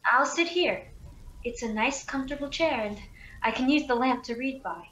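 A woman's voice: high voice, lively intonation, normal speed, fairly bright tone, highs cut off. A young woman acting as an anxious, caring young woman keeping night watch in a radio play. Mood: caring, insistent. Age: young adult. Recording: roomy, some background noise.

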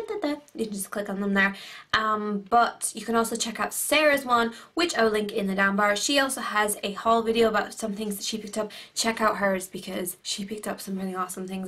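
A bubbly Irish woman in her twenties gushes through a casual haul video, piling on fillers and excited asides. Her voice is a little croaky today. She talks to a camera on the desk in her bedroom. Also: mid-range voice, fairly quick. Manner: bubbly, gushing, chatty with many fillers. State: a bit croaky. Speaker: beauty vlogger. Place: bedroom at home.

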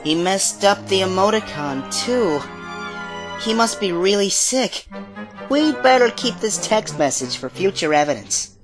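A woman's voice: mid-range voice, animated, unhurried, music in the background, close to an everyday voice. A woman playing a mischievous, mocking teenage schoolboy in a fan manga dub. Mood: teasing.

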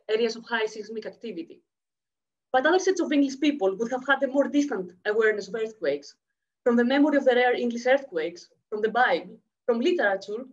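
A woman in her thirties with a Greek accent, from Greece, reading a conference paper quickly over a video call. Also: fairly high pitch. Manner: fast-talking, checks in with the audience. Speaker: academic speaker. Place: home room, indoors. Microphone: laptop webcam mic, video call. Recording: muffled lo-fi video call audio.

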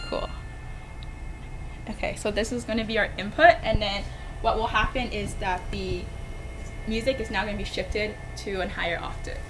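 A woman in her twenties explains a technical project informally, as a student would. Her voice sits at a medium pitch. She is recorded indoors on a lapel mic. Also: fairly even intonation, moderate pace, long pauses, over music, low-quality recording. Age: 20s. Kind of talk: informal technical demo presentation. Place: indoor electronics lab.

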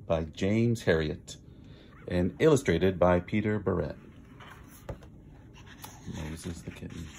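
A man's voice: deep voice, slow pace, vocal fry, pauses often, darkish tone, a little hiss or hum. A man reads a picture book aloud in a theatrical way, drawing out words for emphasis. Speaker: storybook reader.

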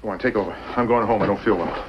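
An actor playing a gruff, irritable American townsman in an old television western. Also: lowish voice, very fast, heavy background noise, lightly acted. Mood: impatient. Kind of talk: 1950s television western acting. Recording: muffled old soundtrack, loud music.

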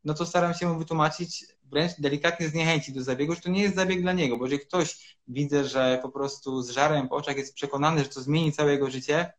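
A man in his thirties answers interview questions as a doctor over a video call. His voice sits a little high for a man. He explains step by step and fills pauses with hesitation sounds. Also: steady tone. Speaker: urologist guest. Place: home room, indoors.

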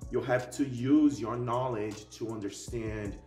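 A man's voice: medium pitch, steady tone, a little slow, warm tone. Echoey young man with a Portuguese accent. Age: young adult. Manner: emphatic, often tags sentences with "okay?". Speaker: YouTube host. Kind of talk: casual explainer vlog. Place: living room at home, indoors. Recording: roomy echo, distant mic, faint music.

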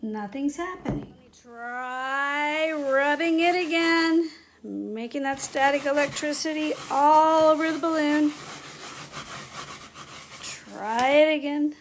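A middle-aged woman teacher speaking in simple, clear phrases to young children, as in a read-aloud or classroom demonstration. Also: sits high, takes it slowly, slight background noise. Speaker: teacher making videos for pupils. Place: indoors at home, living room and kitchen. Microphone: device mic at about arm's length.

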